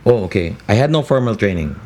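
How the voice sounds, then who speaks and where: Deep-voiced Filipino man, lively storyteller. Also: fast talker. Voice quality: deep, worn voice. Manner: animated storyteller who mimics voices, mixing Tagalog and English. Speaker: radio voice talent and guest. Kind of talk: casual interview chat.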